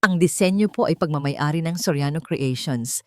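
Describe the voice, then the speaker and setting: A woman's voice: low voice, moderate pace, dark tone, no room sound, studio quality, natural voice. A woman voicing a weak-willed, reluctant man testifying formally in a story. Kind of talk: courtroom drama in narrated story.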